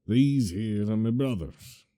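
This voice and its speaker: Dude doing a gruff dwarf, slow and dark, swings up and down a lot when he talks. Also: fairly low pitch, expressive. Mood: exasperated. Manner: blunt and exasperated, drawn-out delivery. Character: gruff, blunt dwarf man.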